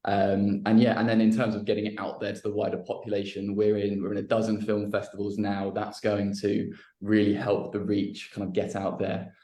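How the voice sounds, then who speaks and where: A filmmaker explaining his project in a relaxed way, answering questions on a panel. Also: lowish voice, normal speed, few pauses. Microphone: video call. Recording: roomy echo on a video call.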